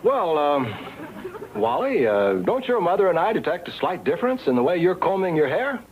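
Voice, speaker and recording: A man's voice: fairly high pitch, very animated, moderate pace. A man playing a mild, hesitant suburban father in a staged radio sitcom. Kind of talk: staged old-time radio sitcom re-creation. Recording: dull, noisy crowded live stage.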